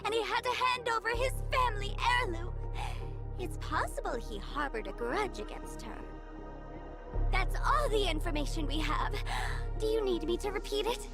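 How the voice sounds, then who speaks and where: Eager young game assistant girl, really high creaky voice, explains politely, music under it. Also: very animated, normal speed, full character voice. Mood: eager explaining. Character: eager young female assistant presenting case dossiers. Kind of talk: video game character acting. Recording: music behind voice.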